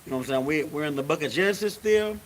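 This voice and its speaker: Casual preacher, lots of filler. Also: male voice, fairly high pitch, lively intonation, racing. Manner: repeats "you know what I'm saying" constantly. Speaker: religious teacher leading a service. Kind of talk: casual sermon. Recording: faint hiss.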